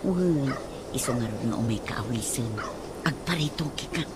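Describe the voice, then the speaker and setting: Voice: medium pitch, animated, moderate pace, close to an everyday voice. An actor playing a chatty, coaxing friend with an Ilocano accent in a radio comedy drama. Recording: background noise with bird ambience.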